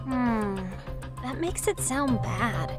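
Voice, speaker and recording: Slow-talking, gossipy schoolgirl. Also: fairly high pitch, animated, over music. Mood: chatty. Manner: casual, chatty. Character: gossipy, easygoing high school girl. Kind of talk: video game English dub dialogue.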